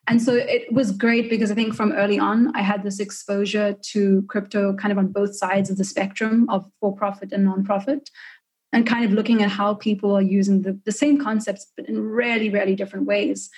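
A woman's voice: mid-range voice, little pitch movement, brisk, darkish tone, rough recording. A woman in her early twenties with a South African accent, chatting casually as an interview guest. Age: early 20s. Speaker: podcast guest.

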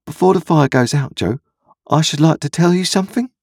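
Man playing an anxious young boy, raised pitch. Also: brisk, creaky, darkish tone, husky, no room sound, clean studio sound. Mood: hesitant. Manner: earnest, confessional. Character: anxious, miserable, humble young country boy.

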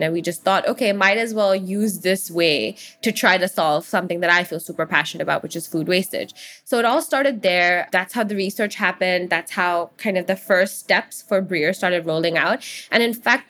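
A woman in her twenties from Hong Kong, a guest entrepreneur telling stories earnestly in a casual interview, with quick asides. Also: medium pitch, a bit bright. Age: 20s.

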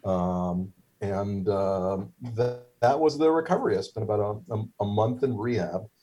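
Husky low-voiced man, halting wry storyteller. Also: warm tone. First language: Hebrew. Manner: halting, many fillers and restarts, wry humor. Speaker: guest, stroke survivor. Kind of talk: casual conversational storytelling.

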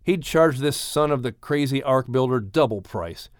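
Lively male audiobook storyteller, close and dry. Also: mid-range voice, normal speed, no room sound. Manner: expressive, theatrical delivery of a children's adventure. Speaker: audiobook narrator. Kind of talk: dramatic scripted storytelling.

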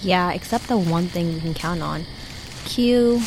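A woman playing a sardonic, sceptical young camper with a wry, expressive delivery in a naturalistic audio drama. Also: fairly low pitch, a little slow, slight background noise, rough recording. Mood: dismissive.

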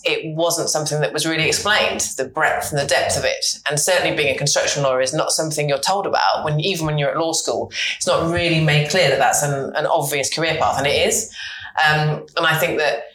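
British woman, bright voice, earnest guest, echoey room. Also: low-pitched, fairly even intonation, a little fast. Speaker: guest, partner lawyer. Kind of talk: casual podcast chat.

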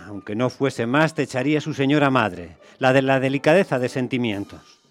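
A man playing an earnest, honourable gentleman master in a radio play, with hesitant, deliberate phrasing. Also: medium pitch, faint music behind, lightly acted. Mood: earnest, resolved. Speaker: radio drama actor. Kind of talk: radio theatre acting.

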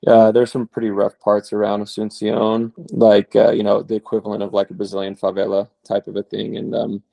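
A man in his late thirties, a returned missionary, telling stories in a relaxed, rambling interview style. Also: fairly low pitch, fairly quick, creaky, dry recording. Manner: relaxed, rambling with fillers and restarts. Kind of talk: conversational interview storytelling.

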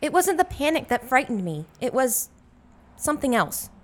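A woman's voice: sits high, big pitch swings, moderate pace. A young woman playing a frightened, secretive lady in a radio play, with an anxious, dramatic manner. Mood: shaken, guarded. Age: young adult.

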